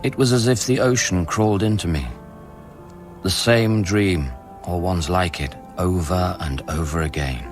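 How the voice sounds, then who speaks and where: Radio play lead: brooding, haunted scientist, extremely deep voice, measured and intimate. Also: male voice, music in the background. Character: brooding, haunted man of science, tender yet irritable. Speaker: lead actor and first-person narrator. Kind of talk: radio play, intimate literary narration and dialogue.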